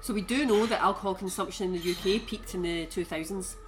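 A woman co-hosting a podcast, talking through researched statistics in a measured, informative way. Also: mid-range voice, poor audio. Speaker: podcast co-host. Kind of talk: casual chat with researched facts.

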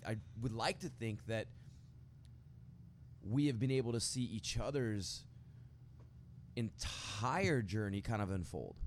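A man hosting a casual podcast interview, speaking haltingly and repeating words as he goes. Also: on the low side, animated. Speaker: podcast host. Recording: clear background noise.

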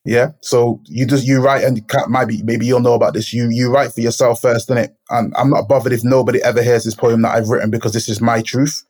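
A man's voice: medium pitch, level tone, brisk, hardly any pauses, a little mellow, slightly rough. A man, talking reflectively and searching thoughtfully for his words. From Manchester, England. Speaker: poet, guest. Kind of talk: reflective conversation.

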